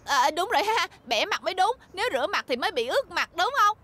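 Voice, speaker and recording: A woman playing a brash, excitable little girl, a protective big sister, in an exaggerated cartoon dub with a southern Vietnamese accent. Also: extremely high pitch, quick pace, background noise. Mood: sheepish. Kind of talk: animated cartoon dub acting.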